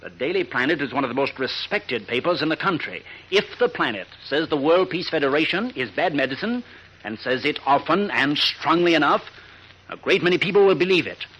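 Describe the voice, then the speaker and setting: An actor playing a domineering, scheming villain boss in 1940s radio style, blustering and commanding, on an old noisy recording. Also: male voice, a little high, expressive, a little fast, pushed and bright, highs cut off. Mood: scheming, overbearing.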